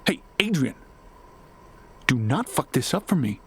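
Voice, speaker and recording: An actor playing a stern, suspicious boss in an audio drama, curt and demanding, with a husky voice. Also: male voice, medium pitch, sing-song, a little slow, a little mellow, slight background noise. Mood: stern, impatient. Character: stern, suspicious male boss pressuring an underling.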